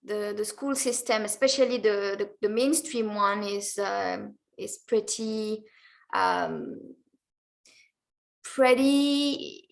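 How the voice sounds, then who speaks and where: A woman from Belgium, a guest in an interview, speaking hesitantly with many pauses and restarts. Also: mid-range voice, vocal fry. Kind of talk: interview answers.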